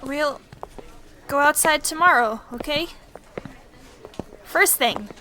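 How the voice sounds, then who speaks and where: A woman playing a timid, tired young male skeleton in an audio drama, gentle, polite and hesitant. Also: high-pitched, slow pace, a little hiss or hum, voice pushed up, exaggerated voice. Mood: shy, polite.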